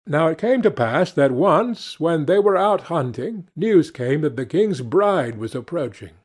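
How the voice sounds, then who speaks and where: A man's voice: fairly high pitch, animated, measured pace, breathy edge. A man narrating fairy tales from a script as an audiobook reader.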